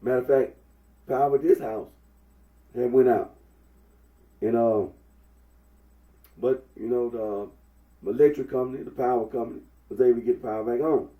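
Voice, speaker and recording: A young man from with a head cold, talking through a face mask in a rambling, halting monologue full of fillers. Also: mid-range voice, fairly even intonation, phone-quality sound. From Memphis, Tennessee. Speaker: vlogger. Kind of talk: casual Facebook Live monologue. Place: indoors at home. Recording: echoey room, mic at a distance.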